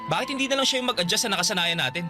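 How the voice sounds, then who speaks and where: A man's voice: high voice, lively intonation, rapid-fire, over music. A male actor with a Filipino accent playing a resentful, guarded younger brother in his twenties in a radio drama, curt and defensive. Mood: bitter, resistant.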